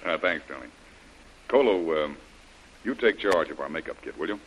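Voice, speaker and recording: Low-voiced, decisive radio adventure hero. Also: male voice, expressive, moderate pace, fairly bright tone, highs cut off, full character voice. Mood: brisk, hearty. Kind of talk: old-time radio adventure serial acting. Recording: hissy, noisy vintage radio recording.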